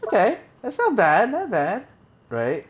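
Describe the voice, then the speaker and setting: A man's voice: sits high, wildly swooping pitch, unhurried. A man streaming a video game, reading menu text aloud and chatting casually with many fillers and restarts.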